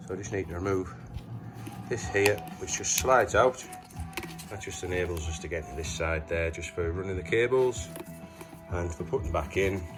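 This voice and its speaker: Man, very low pitch, casual how-to demonstration, unscripted, hesitant, matter-of-fact. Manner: matter-of-fact, hesitant, talking while working with his hands. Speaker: presenter of a DIY installation tutorial.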